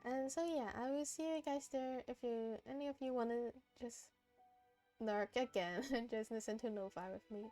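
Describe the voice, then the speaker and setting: Giggly woman streamer with a tired, high voice. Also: moderate pace, a little mellow. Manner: giggly, chatty. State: tired voice, strained after hours of speaking. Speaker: streamer hosting a game stream. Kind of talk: casual live stream chat. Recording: background music behind close dry voice.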